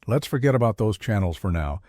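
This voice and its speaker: A performer playing a calm, needling mock reverend who hosts a scripted satirical interview in a measured, deadpan way. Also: mid-range voice, no room sound, close to an everyday voice. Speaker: host.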